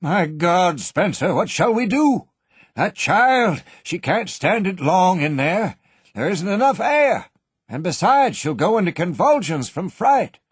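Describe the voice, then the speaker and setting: A man voicing an older banker in a panic, his voice shaky and raised high as he calls out. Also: big pitch swings, a little slow, creaky, breathy edge, no echo, exaggerated voice. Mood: shaky pleading. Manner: shaky, calling out loudly. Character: older male banker, proud then panicked.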